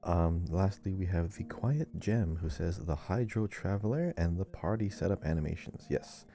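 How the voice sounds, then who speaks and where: A man's voice: low voice, moderate pace, mellow, soft background music. A man co-hosting a casual gaming podcast, chatting and reading listener messages with chatty asides. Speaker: podcast co-host.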